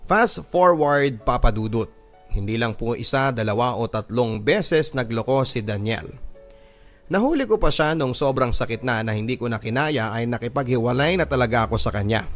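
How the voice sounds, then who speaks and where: A man's voice: mid-range voice, animated, normal speed. A man with a Filipino accent reading a scripted first-person story as a radio drama storyteller. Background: background music.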